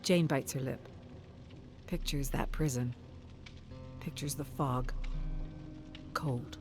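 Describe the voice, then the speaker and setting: Female story narrator: deep, unhurried, dramatic delivery that carries character dialogue, music bed underneath. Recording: music behind the voice. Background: clear music.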